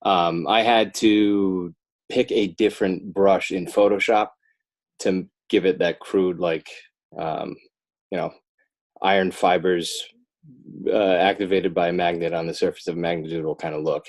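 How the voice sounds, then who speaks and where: Deep-voiced American man, rambling podcast guest. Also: fairly even intonation. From Northern New Jersey, United States. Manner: rambling, self-correcting, halting. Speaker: podcast guest, children's book author. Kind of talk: casual podcast interview chat.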